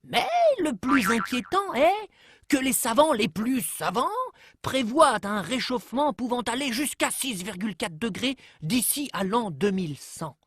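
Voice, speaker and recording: A woman narrator with a high voice that swings widely in pitch. She tells an explainer cartoon like a fable, phrasing each thought deliberately and without hurry, in a dry, close recording. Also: animated, breathy edge, no room sound. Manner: measured storytelling delivery with deliberate phrasing. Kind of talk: scripted narration of an educational cartoon, told like a storybook tale.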